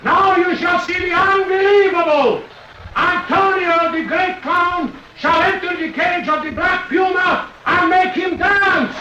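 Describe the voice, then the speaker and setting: A woman playing a grandiose Italian circus announcer, declaiming in drawn-out shouts in an old radio drama. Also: very high-pitched, muffled recording. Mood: grandiose hype. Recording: distant, echoing, noisy old radio recording.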